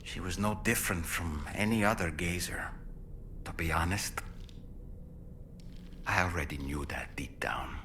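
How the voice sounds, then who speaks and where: An actor playing a sorrowful father, a medium trapped inside a doll, telling his story slowly and solemnly in a video game cutscene. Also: low-pitched, sharp tone, a little hiss or hum, exaggerated voice. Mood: sorrowful, regretful. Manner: slow, solemn, reflective storytelling.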